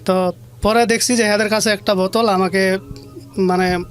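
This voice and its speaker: A man from rural Bangladesh with a Bangladeshi accent and a high voice. He tells his own story in plain, colloquial words and repeats phrases, with music faintly behind him. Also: fairly even intonation, normal speed. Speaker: interview guest telling his life story. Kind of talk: personal storytelling in an interview.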